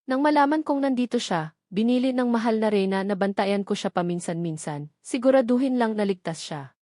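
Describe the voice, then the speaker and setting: A woman voicing a gentle, worried older godmother in an audio drama, speaking softly and soothingly as she confides. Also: a little high, expressive, brisk, no room sound, studio quality, natural voice. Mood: confessing.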